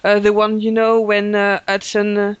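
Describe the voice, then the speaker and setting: Male podcast host, casual and halting, dry mic. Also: sits high, completely flat delivery, clean tone, dry recording, lo-fi sound. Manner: hesitant, many fillers, laughs often. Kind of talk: casual chat.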